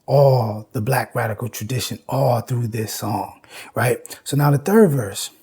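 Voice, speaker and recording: A man's voice: medium pitch, measured pace, dark tone, slightly rough. A man giving an informal lecture with recited lyrics, speaking emphatically and repeating phrases for emphasis. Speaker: host and lecturer on music history.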